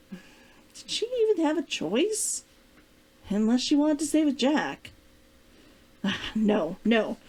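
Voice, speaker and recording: A woman's voice: on the high side, darkish tone. A woman hosting a read-along, narrating fiction expressively in a storybook style. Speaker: author-reader, read-along host.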